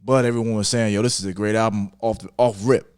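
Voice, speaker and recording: A man's voice: lowish voice, steady tone, fast, a little mellow, clear, resonant tone. Guy hosting a podcast, casual back and forth, pushy, slangy, repeats himself a lot. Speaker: podcast host. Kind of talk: casual debate about hip hop.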